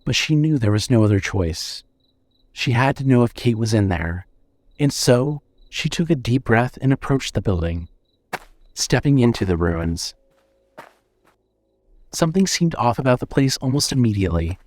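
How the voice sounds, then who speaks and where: A man narrating a scripted horror story in a measured, suspenseful way. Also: mid-range voice, animated, a little mellow, dry recording.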